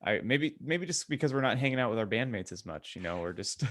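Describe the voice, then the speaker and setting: A man's voice: mid-range voice, expressive, brisk, fairly bright tone. A man co-hosting a podcast, telling anecdotes and joking in casual chat. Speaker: podcast co-host.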